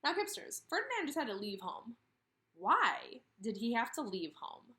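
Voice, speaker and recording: A woman in her thirties teaching and reading aloud to kindergarteners, expressive, with questions and emphatic pauses. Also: fairly high pitch. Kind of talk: instructional read-aloud for young children. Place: indoors, room with a brick wall.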